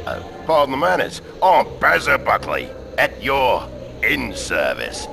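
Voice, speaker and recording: A man's voice: medium pitch, huge pitch swings, a little slow, vocal fry, music in the background, full character voice. An actor playing a jovial, boastful rugged outdoorsman in a video game, talking in a matey, colloquial way. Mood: wheedling, boastful. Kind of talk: animated video game acting.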